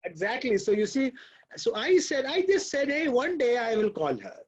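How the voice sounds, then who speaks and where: A man hosting an online lecture session, talking in a halting way with many fillers and restarts over a video call. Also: very high voice, sing-song, husky. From Pakistan. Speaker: host and professor.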